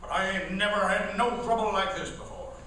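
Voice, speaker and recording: A man's voice: high-pitched, steady tone, fairly quick, sharp tone. A man playing a folksy frontier loner with an Old West American drawl in a comic Western radio play. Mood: plainspoken, wry. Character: folksy frontier loner, a dry-mouthed drawling prairie cowboy. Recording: echoey hall, distant mic, faint noise.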